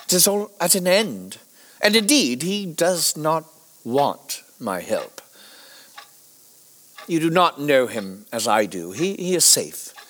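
An anguished Victorian gentleman doctor, played by a man, in a period audio drama. His fairly high voice rises and falls dramatically, and he speaks haltingly and fervently, as if hiding something. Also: sing-song, heavily acted, poor audio. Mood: desperate insistence.

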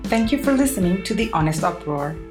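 A woman's voice: mid-range voice, very animated, brisk, music in the background. A woman in her thirties or older giving a personal, reflective podcast monologue with emphatic, repeated phrasing. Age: 30s or older. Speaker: podcast host.

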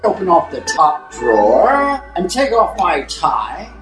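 A man's voice: fairly high pitch, huge pitch swings, slow, reverberant, over music, full character voice. A man playing a stuffy, oblivious older husband in an improvised radio drama parody, with a mannered delivery. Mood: intrigued.

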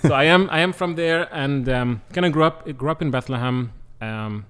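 A man's voice: mid-range voice, normal speed, smooth. Palestinian guy in his 30s, chatty and joking as a guest. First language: Arabic. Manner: animated, jokey, explanatory. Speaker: guest, doctoral student. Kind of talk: interview conversation.

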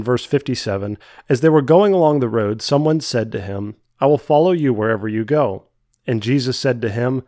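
A young man with a cold-congested voice hosts his own faith podcast, speaking earnestly and often stumbling back over a word before pushing on. His pitch sits in an ordinary middle range. The recording is dry and close, with no room sound around him. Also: a little mellow, dry recording. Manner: earnest, with frequent restarts and repeated words. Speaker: podcast host. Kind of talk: solo devotional podcast talk.

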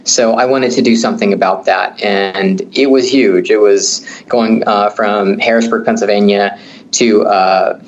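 Middle-aged man from Kenya, family doctor, fairly low voice, measured explanations, muffled remote line. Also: fairly even intonation, a little hiss or hum. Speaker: guest, family physician. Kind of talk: interview answers.

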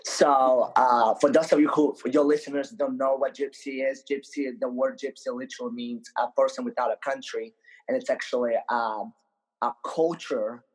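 A man from Ukraine with an Eastern European accent, telling his story casually as an interview guest, halting often and repeating words. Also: medium pitch, fairly even intonation, low-quality recording. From Ukraine, born in Moldova. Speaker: podcast guest.

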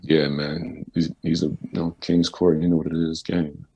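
A man with a very low, gravelly voice and a husky edge tells stories in a loose, profane, animated way. He sounds like a musician guest on a casual talk show, speaking close to the mic. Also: moderate pace, muffled recording. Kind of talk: casual storytelling interview.

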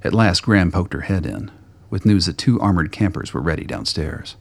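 A man reading scripted narration for a radio play in a deep, gravelly voice. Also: expressive, moderate pace.

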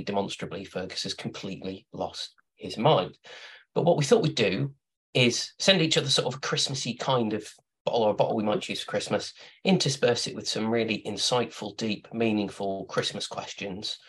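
A man with a low, husky voice hosting a relaxed podcast. He rambles through playful anecdotes, full of restarts and asides, in an easy, chatty way. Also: low-quality recording. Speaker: podcast host. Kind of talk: casual chat.

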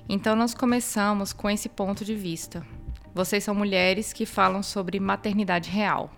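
A woman's voice: mid-range voice, fairly even intonation, moderate pace, gravelly, soft background music. A woman with a Brasília accent presenting a podcast and putting questions to guests as host. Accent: Brazilian, Brasília.

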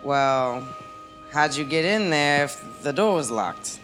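A skeptical, brisk police captain performed by a woman with a lowish voice and lively swings. The questioning is wry and halting, in parody radio-drama style, over faint music. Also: exaggerated voice. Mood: wry, probing. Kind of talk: comedic parody of classic radio play.

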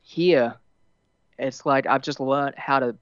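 Australian man with a softened accent. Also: mid-range voice, slow, clear, resonant tone, no room sound, highs cut off. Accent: Australian, partly Americanized. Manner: rambling, many fillers and restarts, laughs easily. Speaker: musician guest. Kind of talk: casual interview chat.

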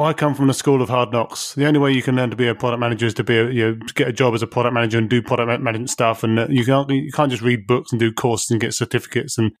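A man's voice: mid-range voice, no echo, clean studio sound. A man hosting an interview, asking long conversational questions with light jokes and fillers. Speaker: podcast host.

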